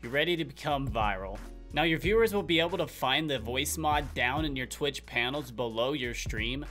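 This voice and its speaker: A young man hosting a YouTube tutorial, speaking straight to viewers in clear step-by-step, upbeat scripted instructions. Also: medium pitch, animated, normal speed, sharp tone. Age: young adult. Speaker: tutorial video host. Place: small indoor room at a desk. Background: faint background music.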